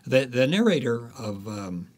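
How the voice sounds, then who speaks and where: A man speaking as an author being interviewed, explaining reflectively with deliberate, halting phrases and frequent pauses. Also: mid-range voice, very animated. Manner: halting, deliberate, pausing often.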